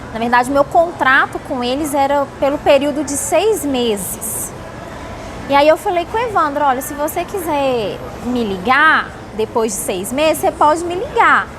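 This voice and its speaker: A woman's voice: sits high, lively intonation, moderate pace. A woman from Salvador in Brazil answering interview questions with casual personal storytelling. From Salvador, Bahia, Brazil. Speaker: interviewee, company employee. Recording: noisy background with vehicle sounds.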